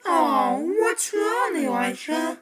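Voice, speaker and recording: A woman voicing a caring, excitable cartoon friend in an amateur production, on a noisy recording. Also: extremely high pitch, slow pace, over a noisy crowd, full character voice. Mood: concerned.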